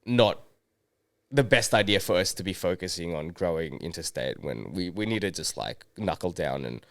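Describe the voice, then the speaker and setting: A young Australian man chatting casually as a guest, relaxed, full of fillers and "man". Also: low voice, moderate pace, gravelly, dry recording, clean studio sound. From Brisbane, Australia. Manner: laid-back, lots of fillers, says "man" often. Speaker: market co-owner, guest. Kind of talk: casual interview chat.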